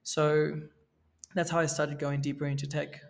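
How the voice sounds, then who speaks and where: A man in his thirties from Venezuela, a podcast guest speaking in a relaxed way, with fillers and restarts. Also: fairly high pitch, moderate pace, creaky. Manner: relaxed, with fillers and self-corrections. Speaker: guest, NFT project co-creator. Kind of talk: casual podcast interview. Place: Mexico, where he is now.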